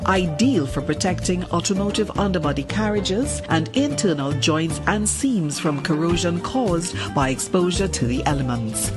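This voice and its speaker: A woman reading a scripted corporate advertisement as an announcer. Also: fairly low pitch.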